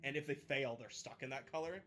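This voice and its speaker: A man's voice: mid-range voice, a little fast, bright, forceful tone. Brash, sweary man at a game table. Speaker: player in a tabletop role-playing game. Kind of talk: casual chat at a game table. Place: in-person game session indoors. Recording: background music under the voice. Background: background music.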